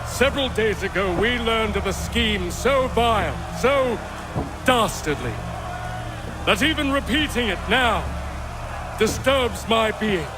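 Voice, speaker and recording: Game role, male: a pompous eighteenth-century orator, raised high voice, measured grandiose delivery, music behind. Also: expressive, poor audio. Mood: solemn, indignant. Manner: grandiose, declamatory public speech. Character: solemn, pompous colonial orator condemning a prisoner. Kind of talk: dramatic video game acting.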